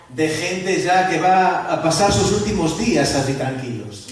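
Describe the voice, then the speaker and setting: A man preaching as a pastor, lively, asking the congregation questions and joking with them. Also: high voice. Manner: lively, asks the audience questions and jokes. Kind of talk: sermon to a church gathering. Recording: echoey hall from far off.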